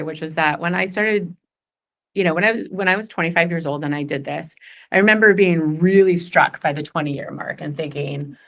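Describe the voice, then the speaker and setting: A woman's voice: fairly low pitch, fairly bright tone. A woman in her forties leading a goal-setting workshop, chatty and upbeat, laughing often. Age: 40s. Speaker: workshop facilitator.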